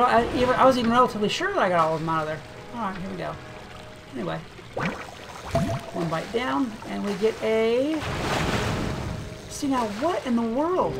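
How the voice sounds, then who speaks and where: A game video creator giving casual gameplay commentary, thinking aloud in a relaxed, chatty way. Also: medium pitch, creaky. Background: game music.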